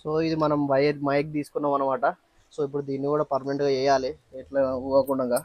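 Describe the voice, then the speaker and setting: A man's voice: medium pitch, completely flat delivery, fast talker, darkish tone, dry recording, lo-fi sound. A man with a Telangana Telugu accent casually talking through a hands-on task in an informal vlog, explaining it step by step. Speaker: motovlogger.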